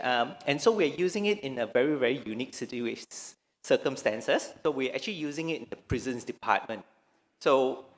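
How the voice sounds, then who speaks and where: A man from Malaysia giving a conference talk, with frequent restarts and hesitations. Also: mid-range voice. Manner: hesitant, many restarts and fillers. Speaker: conference speaker. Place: large conference hall. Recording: reverberant lecture hall.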